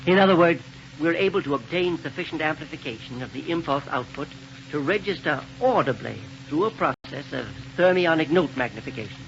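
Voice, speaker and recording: Musing scientist on noisy old radio. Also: male voice, a little high, lively intonation, a little fast. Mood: proud, explaining. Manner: hesitant, musing, professorial delivery with technical jargon. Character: kindly, eccentric scientist doctor proud of his invention. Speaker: radio actor. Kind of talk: 1930s radio serial acting. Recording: noisy muffled vintage radio recording.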